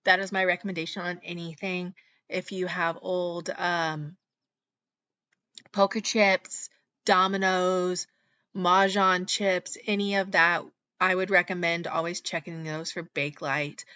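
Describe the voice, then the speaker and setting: Slightly hoarse woman, chatty reseller. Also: fairly low pitch, steady tone, a little slow, pushed and bright, dry recording, dull audio. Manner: chatty, practical, with frequent ums. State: slightly hoarse after illness. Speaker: channel host, reseller. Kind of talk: casual voice-over narration. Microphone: voice-over mic.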